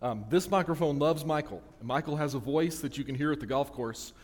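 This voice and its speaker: A man's voice: on the high side, a little fast. A man preaching a sermon to his church in a casual, self-deprecating way, often restarting his sentences. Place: church sanctuary. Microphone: handheld or stand microphone. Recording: long church reverb.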